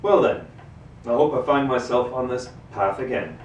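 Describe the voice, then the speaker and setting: An actor playing a gentlemanly, bookish, brooding neighbor in a live book reading, speaking in a courtly, measured, musing way. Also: male voice, medium pitch, close to an everyday voice. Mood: courteous. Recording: roomy, distant microphone, noisy ambience.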